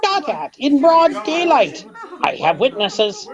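A man's voice: very high-pitched, sing-song, slow, lo-fi sound, exaggerated voice. An actor playing an outraged, melodramatic man in 1940s radio comedy, declaiming and blustering. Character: outraged, melodramatic male customer, theatrically accusing. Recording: vintage radio with crowd noise.

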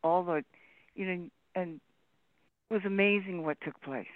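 A man's voice: sits high, a little slow, no room sound. An older man, around his seventies, chatting casually and reminiscing over a phone-like connection. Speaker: discussion participant. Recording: tinny dry phone-line audio.

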